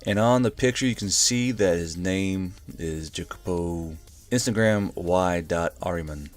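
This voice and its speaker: A man's voice: low voice, unhurried. A man hosting and narrating a story, with a casual, offhand way of talking. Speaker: channel host and narrator. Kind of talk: casual intro, then audiobook-style story narration.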